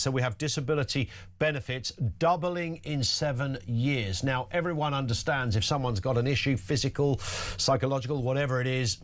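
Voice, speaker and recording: A man hosting a breakfast talk radio show, asking a guest questions in a brisk, conversational way. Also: mid-range voice.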